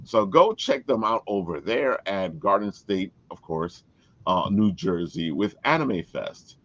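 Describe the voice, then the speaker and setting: Male podcast host, enthusiastic casual promo chat, very lively intonation, slightly muffled lo-fi sound. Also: low voice, measured pace. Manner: enthusiastic, plugging.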